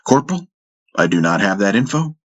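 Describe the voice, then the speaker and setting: A man's voice: on the low side, big pitch swings, brisk, highs cut off. A man voicing a tall, brusque military officer in an audiobook, clipped and authoritative. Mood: stern.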